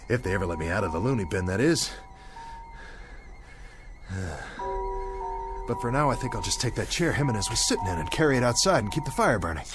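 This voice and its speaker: A young man playing a stranded, guilt-ridden bomber captain who rambles and questions himself aloud in a dramatic radio play monologue. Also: on the low side. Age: young adult.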